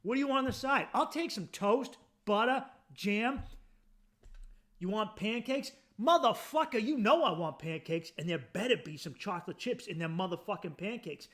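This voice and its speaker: A man's voice: sits high, animated, normal speed, a bit bright. A man hosting a podcast, riffing through a profane, mock-outraged comedic rant. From United States. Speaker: podcast host.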